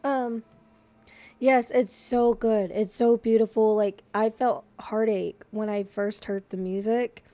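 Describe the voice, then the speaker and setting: Slightly hoarse chatty woman streamer. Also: fairly high pitch, steady tone, takes it slowly, warm tone, dry recording. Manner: chatty, effusive, with frequent restarts. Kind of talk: casual live-stream chat.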